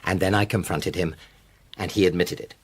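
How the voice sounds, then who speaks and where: An actor playing a troubled, gentle husband in a radio drama, speaking in a hesitant, halting way. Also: male voice, sits low, fairly even intonation, slightly rough. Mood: anxious, confessing. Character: troubled, gentle husband and father with bad news.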